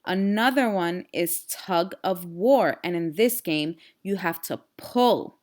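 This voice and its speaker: Slow-paced woman teacher reading to children. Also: fairly low pitch. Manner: expressive, instructional, addresses young listeners directly. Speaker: teacher reading aloud to pupils. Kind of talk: children's storybook read-aloud with simple questions.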